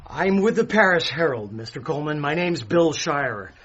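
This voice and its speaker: A man plays a reporter in a radio thriller. His voice sits fairly high, with an urgent, straightforward manner. The sound is dull with faint background noise. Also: expressive, moderate pace, close to an everyday voice. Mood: earnest. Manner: pressing, direct, polite. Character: urgent, earnest American newspaper reporter, adult man. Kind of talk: radio drama acting. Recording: muffled, noisy radio drama scene.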